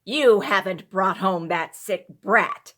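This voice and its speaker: A sharp-tongued, impatient wife from a classic storybook, played by a woman reader. She scolds and demands answers with open indignation. Her temper is short and her manner is cutting. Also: mid-range voice, slow. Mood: sharp, angry. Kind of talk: storybook character voice.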